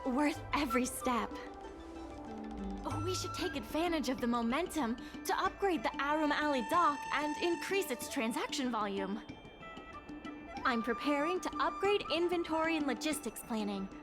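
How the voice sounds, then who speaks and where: Game character: upbeat young dock manager, high earnest voice, music underneath. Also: female voice, lively intonation, normal speed. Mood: upbeat, determined. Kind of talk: video game voice acting.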